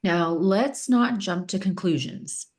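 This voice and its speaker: A woman's voice: mid-range voice, takes it slowly, a little mellow. A woman playing a dismissive, unbothered police detective in a screenplay table read, skeptical and matter-of-fact.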